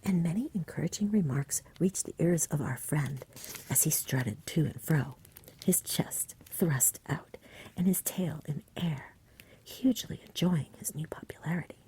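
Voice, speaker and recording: A woman reading a story in a hushed, soothing ASMR whisper, close to the microphone. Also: low-pitched, a little slow. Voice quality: breathy whisper. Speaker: ASMR reader.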